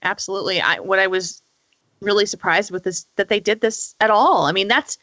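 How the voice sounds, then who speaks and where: A woman chatting casually and excitedly as a gaming podcast panelist. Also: medium pitch, a little fast, no room sound. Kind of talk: casual enthusiastic chat.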